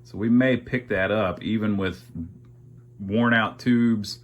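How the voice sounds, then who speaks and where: Low-voiced man talking through a repair. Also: steady tone, slow pace. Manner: thinks aloud, folksy, wry humor. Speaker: amateur radio repairer and video host. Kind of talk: casual narration while working on a repair.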